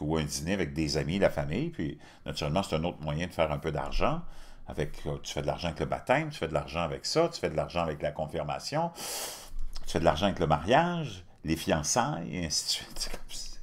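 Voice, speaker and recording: Male host, 50s, Quebec accent; deep voice with lively swings, indignant commentator. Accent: Quebec French. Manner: animated, indignant, repeats words, frequent pitch jumps. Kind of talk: casual opinion commentary. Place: home studio with virtual backdrop.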